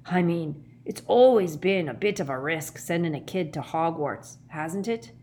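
A woman voicing a huge, shaggy, anxious man with a hoarse growl and slow rustic speech in a storybook. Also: on the low side, animated, mellow. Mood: heavy.

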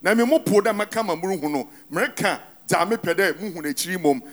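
High-pitched male sermon interpreter. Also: lively intonation, fairly quick. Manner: follows the preacher line by line. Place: church.

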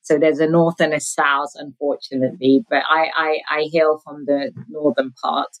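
A woman's voice: low-pitched, little pitch movement, moderate pace, low-quality recording. A woman in her forties, from Sudan with a British accent, chatting as a podcast guest and laughing often. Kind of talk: conversational interview.

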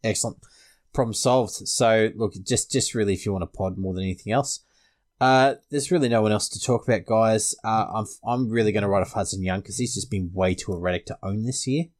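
A man's voice: lowish voice, steady tone, a little fast. An Australian man hosting a sports podcast, casually discussing fantasy rugby league.

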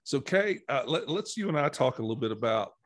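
A man hosting a church podcast, talking through the topic in a casual, rambling way, his voice slightly hoarse from allergies. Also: mid-range voice, big pitch swings, fairly quick, no room sound, studio quality. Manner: rambling, many fillers and restarts. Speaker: podcast host. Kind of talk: casual teaching conversation.

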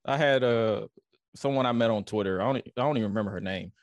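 A man in his twenties, a podcast guest, talking candidly and haltingly with restarts. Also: on the low side, no room sound. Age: 20s. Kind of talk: casual, candid chat.

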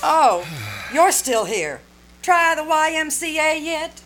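Woman acting a teasing radio-comedy wife, high voice, airy, mock-sweet sarcasm. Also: very animated, slow pace, background noise, heavily acted. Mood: breezy. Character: breezy, teasing wife scoring points off her husband. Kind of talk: old-time radio comedy acting on stage.

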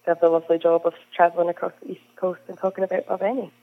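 A woman's voice: on the low side, level tone, very fast. A young Scottish woman from the Hebrides, a whisky brand ambassador, chatting as an interview guest with frequent "um"s. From Scotland, Isle of Lewis. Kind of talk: conversational interview answers. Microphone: phone call. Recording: tinny phone line.

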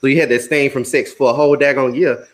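A man's voice: mid-range voice, steady tone, quick pace. A man chatting casually in a group conversation, joking and using many fillers. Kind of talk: casual roundtable chat.

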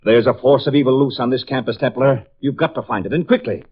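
An actor playing a blustery, gruff middle-aged college football coach with a husky voice in a 1950s radio drama. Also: male voice, mid-range voice, fast talker, natural voice. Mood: brusque. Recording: muffled vintage radio recording.

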